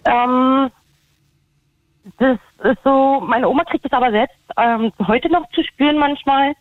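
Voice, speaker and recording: A woman's voice: high-pitched, no room sound. Woman with Berlin accent on phone. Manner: halting, hesitant. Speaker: caller. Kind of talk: call-in radio chat. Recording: tinny phone line.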